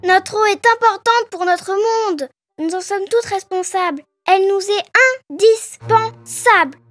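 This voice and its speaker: A woman's voice: extremely high pitch, measured pace. A woman voicing a mischievous, cheeky little girl in a children's cartoon, with an exaggerated childlike delivery. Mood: earnest. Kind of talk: dubbed children's cartoon acting. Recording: children's cartoon music behind.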